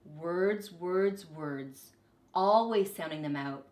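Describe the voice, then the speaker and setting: A woman reading a children's story aloud expressively, with deliberate, dramatic emphasis. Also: lowish voice, a little mellow, echoey room. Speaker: storybook reader, teacher.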